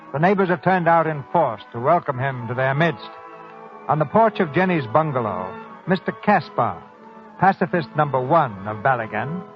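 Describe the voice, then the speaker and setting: A man hosting a 1940s radio play with scripted narration. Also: fairly high pitch. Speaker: radio host introducing the play. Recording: dull 1940s radio, faint music behind.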